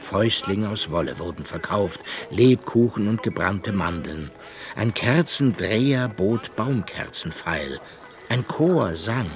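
Low-voiced, very animated male storyteller. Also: takes it slowly. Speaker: narrator. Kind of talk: scripted children's story narration.

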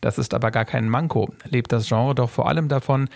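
A man's voice: mid-range voice, steady tone, fairly quick, creaky, darkish tone, no room sound. A man reading scripted narration for a radio feature as its reporter.

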